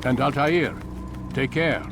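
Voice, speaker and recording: A man's voice: medium pitch, music in the background, lightly acted. A man voicing a stern old master of an order. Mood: commanding. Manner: measured, commanding, deliberate. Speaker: mentor. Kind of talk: video game voice acting.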